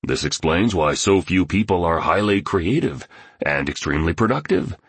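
Man playing an earnest, husky-voiced artist. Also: low-pitched, lively intonation, moderate pace, dry recording, exaggerated voice. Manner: earnest, eager explaining. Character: enthusiastic male artist, earnest and admiring.

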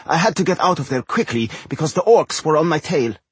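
A man voicing a nosy, insistent gnome in a storybook, pestering in a husky voice. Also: a little high, lively intonation, a little fast, no echo, voice pushed up. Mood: reporting. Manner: pestering, questioning.

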